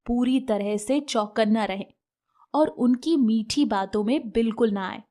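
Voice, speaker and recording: A woman's voice: on the high side, a little slow, mellow. A woman narrating a scripted animal fable for children as a storyteller.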